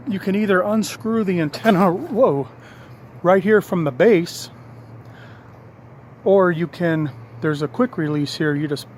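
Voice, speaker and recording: A man's voice: on the high side, slight background noise. A man giving a casual, unscripted hands-on walkthrough of an installation, explaining things in a matter-of-fact way. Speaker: hobbyist presenter showing a radio installation.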